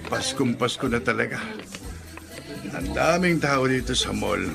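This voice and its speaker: A man playing a friendly, excitable elderly grandfather in a Filipino radio drama, with a creaky voice and exclaiming, hesitant interjections. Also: medium pitch, measured pace. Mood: musing, surprised. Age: older. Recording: music under the voice.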